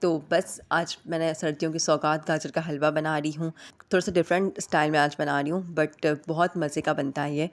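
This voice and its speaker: A woman hosting a vlog, giving an earnest motivational voice-over that speaks straight to listeners, her voice heavy and hoarse from a sore throat. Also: sits low, little pitch movement, normal speed. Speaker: vlog host.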